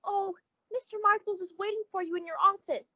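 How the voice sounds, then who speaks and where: A woman playing a bright teenage daughter in old-time radio comedy style. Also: extremely high pitch, fairly even intonation, a little fast. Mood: perky. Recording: dull lo-fi recording.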